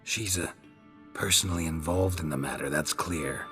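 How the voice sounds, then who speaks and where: An actor voicing a stoic, protective monster hunter in a fantasy video game, gruff, terse and dry. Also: male voice, a bass voice, normal speed, over music. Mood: calm, reassuring.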